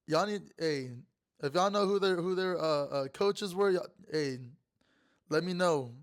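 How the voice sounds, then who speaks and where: A young man with a raspy, hoarse voice from being sick, hosting a casual sports talk show with lots of fillers and repeated words. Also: high voice, a little slow. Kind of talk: casual sports commentary monologue.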